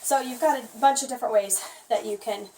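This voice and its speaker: A hoarse woman who has nearly lost her voice, chatting casually through a product review with lots of "um"s. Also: a little high, brisk, mellow, far from the mic, slight background noise, rough recording. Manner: chatty, rambling, frequent "um".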